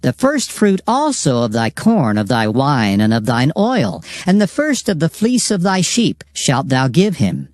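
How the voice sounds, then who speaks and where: A man's voice: medium pitch, huge pitch swings, measured pace, hardly any pauses, darkish tone, dry recording, studio quality. A man acting as a grave, commanding narrator in a dramatized King James scripture reading, solemn and declamatory. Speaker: Bible narrator.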